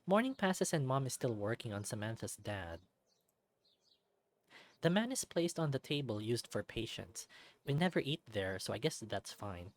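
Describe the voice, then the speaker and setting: A let's play narrator reading a visual novel aloud in an expressive storybook way, with light personal asides. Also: medium pitch, normal speed.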